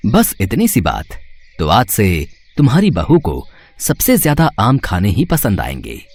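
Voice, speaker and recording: A man voicing a benevolent, mystical holy hermit who speaks in slow, grand pronouncements in a cartoon story. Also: lowish voice, wildly swooping pitch, darkish tone, husky, no room sound, exaggerated voice. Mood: assured, solemn. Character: mystical male holy hermit granting a magical boon.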